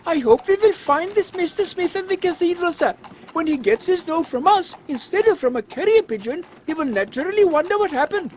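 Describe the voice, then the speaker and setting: Woman as a very high-voiced, deferential male assistant. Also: animated, brisk, darkish tone, slight background noise. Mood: earnest, deferential. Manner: formal, deferential, flowery. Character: earnest, polite male right-hand man to a captain. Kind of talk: comic Victorian audio drama acting.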